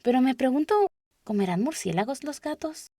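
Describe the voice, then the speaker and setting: Woman voicing a high-pitched, curious storybook girl. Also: lively intonation, normal speed, warm tone, no room sound, clean studio sound, soft background music. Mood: wistful. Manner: musing aloud, questioning, wordy. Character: curious, chatty, dreamy young girl musing to herself. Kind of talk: storybook character voice.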